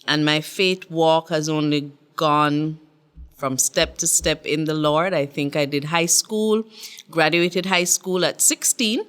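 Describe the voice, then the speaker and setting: Low-voiced woman, earnest storyteller. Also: slow pace, a bit bright, clear, resonant tone. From Jamaica. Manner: earnest, reflective. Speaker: podcast guest. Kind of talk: casual interview storytelling.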